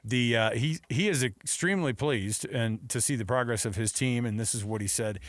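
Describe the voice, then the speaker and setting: A man's voice: mid-range voice, normal speed, no echo. An American man bantering as a sports radio co-host. His talk is casual, joking and a little rambling. He is close to the microphone in a dry studio. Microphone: close studio mic.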